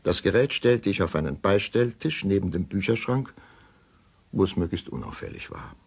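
An actor playing a troubled middle-aged male doctor, narrating a crime radio play in a grave, measured, deliberate way. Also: low-pitched, big pitch swings, vocal fry, darkish tone, slightly rough, heavily acted. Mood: measured, sombre. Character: troubled male doctor recounting a suspicious death. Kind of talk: first-person radio drama narration.

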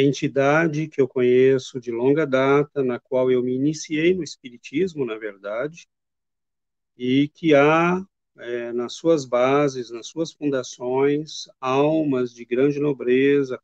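Man, middle-aged, spiritist lecturer, earnest and measured, dry muffled webcam audio. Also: medium pitch, warm tone, no room sound. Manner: measured, earnest, reflective delivery with pauses. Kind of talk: devotional lecture. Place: home study with bookshelves. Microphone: webcam video call. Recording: muffled lo-fi video call audio.

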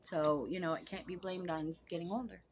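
A woman's voice: fairly low pitch, fairly even intonation, brisk. Sixty-something lady on her couch cussing and talking straight into her phone, faint hiss in the background. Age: 60s. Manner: blunt, frank and profane, talks straight to camera. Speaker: vlogger. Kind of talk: casual vlog monologue. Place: small home room, seated on a couch.

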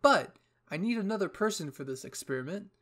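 A man voicing an excitable, dramatic female military scientist in a story reading, with a theatrical, effusive manner. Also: sits high, brisk, pitched above the performer's own voice. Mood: cheerful, eager.

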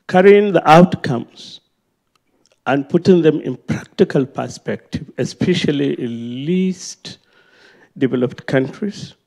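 A man's voice: on the high side, gravelly, a little mellow. A man with a Nigerian accent putting a question at a public panel event, speaking in an emphatic, speech-like manner. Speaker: audience member asking a question.

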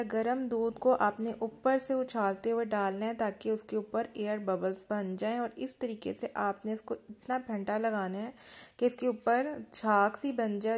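A woman's voice: medium pitch, darkish tone. A woman with a Pakistani Urdu accent, narrating a home recipe step by step as a cooking channel host. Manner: plain step-by-step instructions with kitchen tips.

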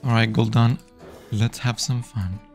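A man's voice: medium pitch, fairly even intonation, unhurried, faint music behind. A man streaming a digital card game live, playfully chatting with his opponents and viewers.

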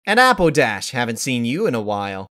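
A man's voice: mid-range voice, big pitch swings, brisk, a bit bright. A man voicing a brash, fun-loving grown pony mare in a story reading, with a breezy, teasing manner. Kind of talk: dramatized fan-fiction reading.

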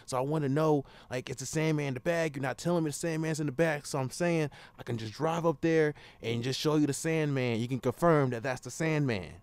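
A young man making an improvised prank phone call as the caller, rambling insistently and repeating himself in a gravelly voice. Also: a little high, brisk, few pauses, no echo.

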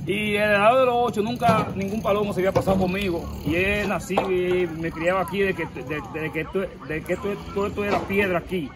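A man's voice: sits high, fairly even intonation, quick pace, hardly any pauses, music in the background. A man in his forties with a Dominican accent, pleading and emphatic in a street interview, recorded outdoors on a camera close by. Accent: Dominican Spanish. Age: 40s. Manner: pleading, emphatic, gestures while talking. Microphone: handheld camera close by.

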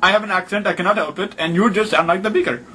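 Man putting on a high-pitched pushy foreign prank caller. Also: quick pace, background noise, close to an everyday voice. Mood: exasperated, insistent. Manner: indignant, repetitive broken English without contractions. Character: pushy, absurd, crude foreign male phone caller. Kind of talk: improvised prank phone call.

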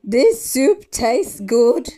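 A woman's voice: on the high side, sing-song, mellow, faint music behind, exaggerated voice. A woman voicing an easily amazed little old lady from a storybook, drawing out her exclamations. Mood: delighted, amazed. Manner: drawn-out, exclaiming delivery.

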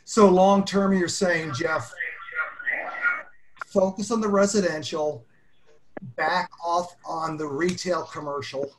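A man with a higher voice, moderating a panel over a video call. There is a faint hiss behind him. He sums up what others said and hands the floor to the next guest. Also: steady tone, takes it slowly, pauses often. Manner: summarizing, prompting guests. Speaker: meetup host and moderator.

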